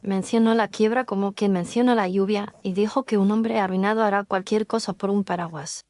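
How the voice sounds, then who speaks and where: A woman's voice: mid-range voice, steady tone, fairly quick, darkish tone, lightly acted. A woman playing a young, humble touring actress in an audio drama, speaking earnestly and candidly. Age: young adult.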